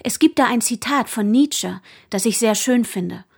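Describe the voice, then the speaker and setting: An actress playing an earnest, bookish young student who reads out her letters in a radio drama, with a husky voice. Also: a little high, measured pace, exaggerated voice. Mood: earnest, wistful.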